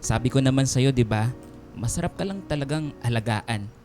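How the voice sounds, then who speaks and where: A man's voice: medium pitch, lively intonation, a little fast, a little mellow, soft background music, natural voice. A man playing a devoted, caring young Filipino man in a radio drama, earnest and polite. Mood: soft, tender. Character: devoted, caring young male nurse, respectful but firm.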